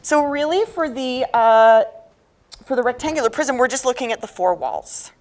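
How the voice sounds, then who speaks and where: A woman's voice: high-pitched, big pitch swings, normal speed. A woman teaching a math class, explaining step by step and often checking understanding with questions.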